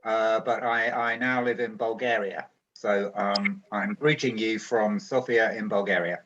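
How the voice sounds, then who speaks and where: A man's voice: lowish voice, steady tone, a little slow, fairly bright tone, a little hiss or hum. A man from Britain with a British accent, a courteous poet speaking informally to an online gathering. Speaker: poet, event participant. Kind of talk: informal introduction and poetry reading. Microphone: online group call. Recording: dull lo-fi online call.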